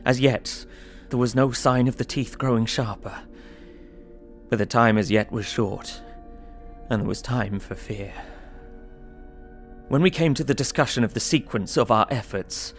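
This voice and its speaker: A man's voice: lowish voice, a little slow, faint music behind, lightly acted. An actor playing an earnest, anxious young solicitor, narrating his diary. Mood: anxious, sombre.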